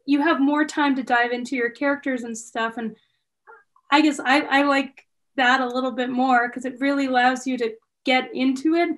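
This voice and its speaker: A woman's voice: sits high, flat delivery, normal speed, fairly bright tone. A woman hosting a casual interview, speaking in a tentative, conversational way. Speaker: interviewer, host.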